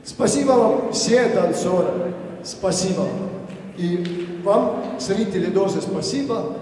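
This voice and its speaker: A man's voice: high voice, lively intonation, takes it slowly, darkish tone, far from the mic, slight background noise. An Estonian man gives a stage thank-you speech in a language he is not fluent in, with broken, playful phrasing. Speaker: dance group representative giving a speech. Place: large hall with audience. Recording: echoey hall, recorded from afar.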